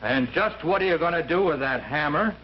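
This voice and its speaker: An actor playing a skeptical, exasperated but protective police chief in an old radio thriller, speaking bluntly. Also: male voice, a little high, animated, fairly quick, a little hiss or hum, lightly acted. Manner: blunt, reasoning. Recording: dull old radio recording.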